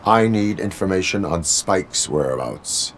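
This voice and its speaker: A stoic cyborg policeman in a video game. The voice is very low, and every line comes out clipped, formal and deadpan. He is dutiful and takes things literally. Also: measured pace, slight background noise. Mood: flat, businesslike. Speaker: police officer. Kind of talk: video game dialogue acting.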